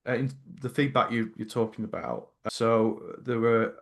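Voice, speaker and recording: A man's voice: medium pitch, little pitch movement, measured pace, crowd noise. A middle-aged man co-hosting a casual workplace podcast. He speaks hesitantly, with many repeated words and restarts. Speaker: podcast co-host.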